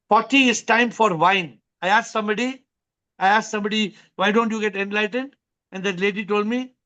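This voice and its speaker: A man with a high voice hosts an online spiritual question session. He leads with repeated questions and short stories from daily life, speaking. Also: sharp tone, dull audio. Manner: probing, repeats questions to lead the answer.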